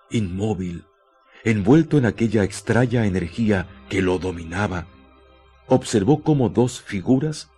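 Male narrator, fairly low pitch, dark mellow tone, solemn, deliberate dramatic pauses, faint music behind. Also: breathy edge. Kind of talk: dramatic scripted radio story narration.

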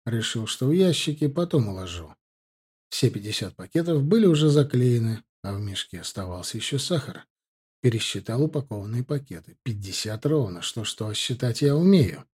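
A man's voice: medium pitch, lively intonation, normal speed, darkish tone, slightly rough. A man reading a first-person story aloud as scripted audiobook narration. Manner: acts out dialogue with lifted, livelier delivery.

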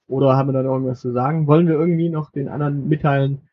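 A man co-hosting a casual podcast, talking in a wry, halting way with many fillers, and acting as game master for a short role-play game. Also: mid-range voice, fairly even intonation, husky, lo-fi sound.

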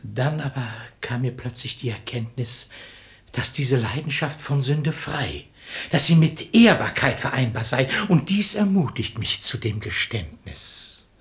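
A man's voice: medium pitch, sing-song, measured pace, fairly bright tone. A male actor playing a sanctimonious, lecherous hypocrite in a verse radio play, with an unctuous, declamatory delivery. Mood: fervent wooing. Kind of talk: German radio play acting in rhymed verse.

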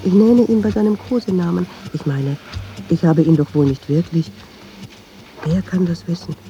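An actress playing a brooding, persistent woman in a radio drama, speaking in an introspective, deliberate way. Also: fairly low pitch, creaky, mellow. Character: brooding, persistent, troubled woman who argues with herself. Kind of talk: radio drama monologue and dialogue. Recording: dull, hissy radio drama recording.

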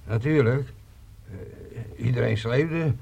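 An actor playing a shaken uncle who haltingly reports an accident on the phone in a radio play. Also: male voice, medium pitch, creaky, darkish tone, husky, muffled recording, full character voice. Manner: halting, stammering. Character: shaken man, uncle passing on bad news.

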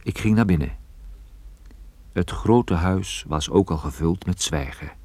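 An actor playing a private detective who recounts his case in a measured, observant way, as first-person radio play narration. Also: low-pitched, darkish tone, dry recording. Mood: calm suspense. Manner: measured, descriptive storytelling.

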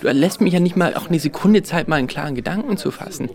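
Casting: exasperated boyfriend, creaky higher-set voice, halting protests, naturalistic radio play. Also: male voice, lively intonation, darkish tone. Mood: defensive. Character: defensive, exasperated man arguing with his partner. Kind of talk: naturalistic German radio drama dialogue.

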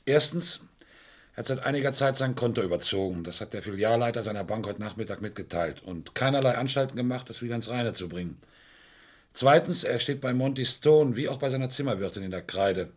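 An actor playing a methodical, sceptical police inspector in a German radio crime play, matter-of-fact and firm, with a slightly husky voice. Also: male voice, mid-range voice, expressive, natural voice. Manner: matter-of-fact, deliberate, probing.

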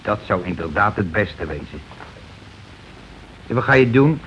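An actor playing a bossy, calculating working-class husband in a Dutch radio play, blunt and argumentative in colloquial speech. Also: male voice, on the low side, lively intonation, measured pace, a bit bright. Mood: grumbling. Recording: dull, noisy old radio recording.

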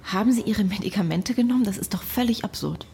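A woman's voice: mid-range voice, quick pace, gravelly, a little mellow, natural voice. An actress in a radio play playing a matter-of-fact, sceptical woman who questions someone.

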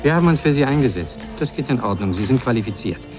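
An actor playing a cool, blunt corporate official applying pressure in a radio drama. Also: male voice, medium pitch, animated, fast talker, lightly acted. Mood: cool, insistent. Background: music.

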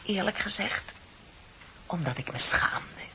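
An actress playing a heartbroken, ashamed young woman confessing in a radio play, dramatic and drifting into breathy near-whispers. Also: medium pitch, animated, slow, pushed and bright. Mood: hesitant, ashamed. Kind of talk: Dutch radio play acting. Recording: muffled radio play recording with tape hiss.

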